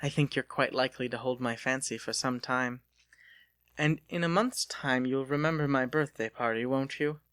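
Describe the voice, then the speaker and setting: A man's voice: mid-range voice, normal speed, a bit bright, smooth, dry recording, natural voice. Man voicing a coy, genteel young lady. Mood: sly, shy. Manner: genteel, coquettish phrasing. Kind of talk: dramatic audiobook character reading.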